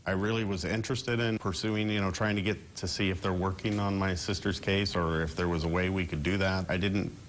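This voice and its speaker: Deep-voiced middle-aged American man in interview. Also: fairly even intonation, a little fast, few pauses, a little hiss or hum. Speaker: interviewee, victim's brother. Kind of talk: spontaneous interview testimony.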